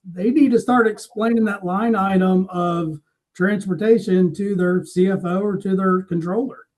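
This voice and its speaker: Male podcast panelist, high register for a man. Conversational shop talk, agreeable, supportive interjections. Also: steady tone, moderate pace, a little mellow. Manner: agreeing, interjects short affirmations. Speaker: freight industry podcast panelist. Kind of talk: unscripted industry discussion.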